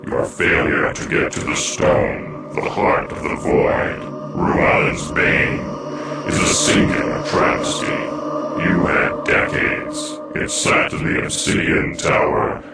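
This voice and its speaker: An actor playing an ancient, menacing dark overlord in a fantasy game, gravelly and imperious, speaking in formal diction. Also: male voice, flat delivery, slow pace, over loud music, heavily acted. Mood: scornful, grave. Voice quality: very deep, gravelly. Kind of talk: theatrical fantasy game cutscene acting. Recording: noisy game audio with heavy echo.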